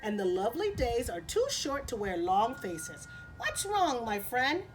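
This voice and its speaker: A woman's voice: on the high side, sing-song, measured pace, a little hiss or hum, low-quality recording. A woman voicing a kindly old female spider in a storybook, patient and reassuring, with a singsong manner. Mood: cheerful, reassuring.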